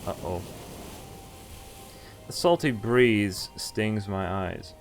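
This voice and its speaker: Deep-voiced man reading a visual novel aloud. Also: lively intonation, extremely slow, creaky. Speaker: game streamer. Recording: music behind the voice. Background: game music.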